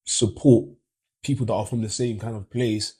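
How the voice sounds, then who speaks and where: A man's voice: lowish voice, normal speed, slightly rough. A man with a London accent speaking emphatically in a casual group chat, often checking that he is understood. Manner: animated, emphatic, keeps asking do you know what I mean. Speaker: panel guest. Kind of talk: casual discussion.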